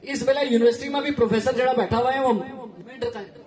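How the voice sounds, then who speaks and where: A man's voice: very high voice, quick pace, darkish tone, a faint crowd. A man speaking in Hindi with a Pahari-Gojri accent, addressing a community meeting earnestly and explaining things as an education official. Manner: earnest, explanatory, switching local dialect and Hindi. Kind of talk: public speech.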